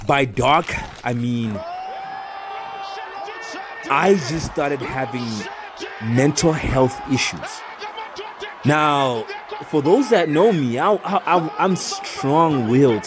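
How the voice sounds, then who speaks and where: A young man from Zambia talks openly and earnestly about his life. He tells it casually but with animated emphasis, and his voice sits at an ordinary middle pitch. Soft music plays faintly behind him. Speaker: storyteller and animator. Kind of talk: casual personal storytelling.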